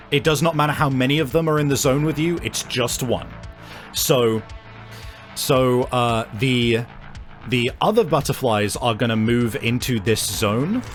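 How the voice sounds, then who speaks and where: Man, game master, average pitch, improvised halting narration, tabletop roleplay, faint music bed. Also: very animated.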